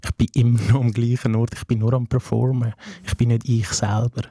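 Swiss German man, twenties, mellow and earnest interview guest, dry close sound. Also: mid-range voice, level tone, fairly quick, no echo. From Afghanistan. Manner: earnest, searching, repeats phrases with "hey". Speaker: guest, social worker. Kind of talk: reflective interview talk.

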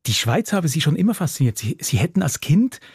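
Female interviewer, slightly breathy husky tone, brief and direct, dry close recording. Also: low-pitched, very animated, fairly quick, darkish tone, no echo. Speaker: interview host. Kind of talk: broadcast interview.

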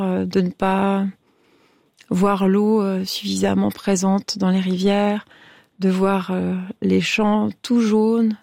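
Informal radio hostess, dry studio sound. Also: female voice, medium pitch, vocal fry, warm tone, no echo. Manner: effusive, informal, encouraging. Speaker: radio phone-in host. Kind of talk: casual radio chat with callers.

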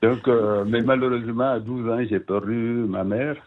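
A man in his fifties from Burkina Faso, a guest speaking by phone, hesitant with many fillers and soft laughs. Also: medium pitch, steady tone, normal speed. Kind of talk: phone interview. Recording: tinny phone line.

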